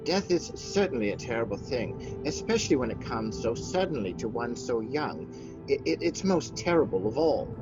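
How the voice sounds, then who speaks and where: An actor playing a nervous, sympathetic doctor with a stammering manner in radio melodrama style. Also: male voice, medium pitch, sing-song, moderate pace, muffled recording, loud music behind the voice, natural voice. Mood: solemn, flustered. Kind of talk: old-time radio melodrama acting.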